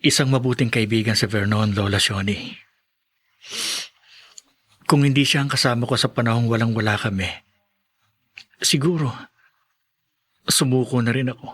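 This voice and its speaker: A man's voice: mid-range voice, moderate pace, pauses often, a bit bright, breathy edge. Young Filipino male radio drama lead: grateful, sincere, affectionate, medium register. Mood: heartfelt. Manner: sincere, heartfelt.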